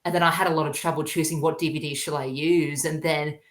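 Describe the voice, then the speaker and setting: A man's voice: fairly high pitch, level tone, fast talker, fairly bright tone. A young Australian man chatting casually as an interview guest, hesitant and earnest in his delivery. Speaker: podcast guest, YouTuber. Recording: roomy, echoing sound.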